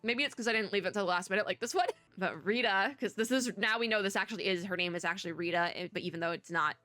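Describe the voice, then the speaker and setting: A woman's voice: mid-range voice, fast talker, pushed and bright, dry recording. A young woman VTuber giving casual, rambling gaming commentary, sniffly and losing her voice. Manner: chatty, rambling, giggly. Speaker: VTuber streamer.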